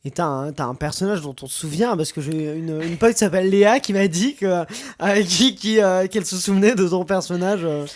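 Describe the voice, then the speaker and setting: A man hosts a casual interview in an informal register. His delivery is halting, and he often reworks a question midway through asking it. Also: sits high. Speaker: interview host.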